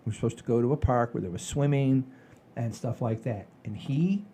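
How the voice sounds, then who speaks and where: An older man hosting a podcast, telling stories in a folksy, rambling, animated way with a husky voice. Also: medium pitch, brisk, a little hiss or hum. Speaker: podcast host. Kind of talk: casual chat and storytelling.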